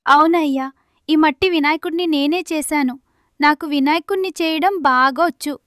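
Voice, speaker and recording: Woman voicing a high-pitched proud village wife. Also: normal speed, a bit bright, dry recording, clean studio sound. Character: proud, capable village wife and clay craftswoman. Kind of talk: Telugu moral story cartoon dialogue.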